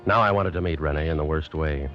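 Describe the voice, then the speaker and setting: A man's voice: a bass voice, quick pace, fairly bright tone, highs cut off, faint music behind. A man acting a tough, weary American army lieutenant in a 1940s radio drama, clipped, commanding and hard-boiled. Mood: wry, measured. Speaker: lead actor and first-person narrator. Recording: noisy vintage radio recording.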